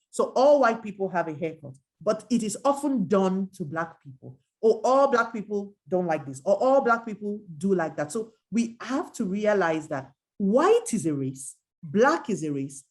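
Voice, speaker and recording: Middle-aged woman from nigeria, educator, earnest and emphatic, keeps quoting people. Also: mid-range voice. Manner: earnest, emphatic, quotes others in lively asides. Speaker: adult educator and researcher. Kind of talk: reflective dialogue.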